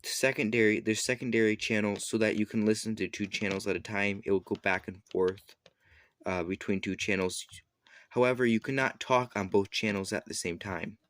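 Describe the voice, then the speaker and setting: A man with a fairly low voice talks through a product review in an informal, unscripted way. He explains step by step, a little haltingly, often backing up to correct his wording. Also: steady tone, fairly bright tone, no echo. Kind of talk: casual unscripted product demonstration.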